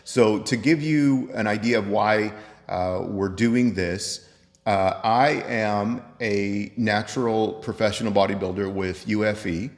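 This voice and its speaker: A man's voice: lowish voice, unhurried. A man in his fifties hosting a show in a casual, conversational and motivational way. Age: 50s. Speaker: host. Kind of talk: casual chat to camera. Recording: echoey room with long reverb.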